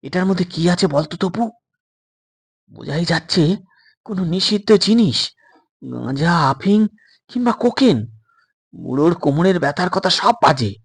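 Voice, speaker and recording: A man's voice: high-pitched, sing-song, measured pace, gravelly, long pauses, slightly rough, exaggerated voice. A man voicing a wary, frightened young male adventurer in an audio story, talking in an urgent, conspiratorial way. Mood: suspicious.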